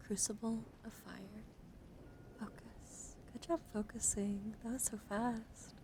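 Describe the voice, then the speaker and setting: A woman livestream host speaking softly and gently in an ASMR style, often slipping into whispers, close to the microphone. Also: a little high, steady tone, slow pace. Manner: frequently drops into whispers. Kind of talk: soft-spoken ASMR card reading and casual stream chat. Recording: clear background ambient noise.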